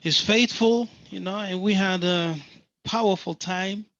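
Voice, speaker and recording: High-voiced, unhurried man hosting, gravelly edge. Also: steady tone, fairly bright tone, lo-fi sound. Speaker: host, religious teacher. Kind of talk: casual, affirming interview chat.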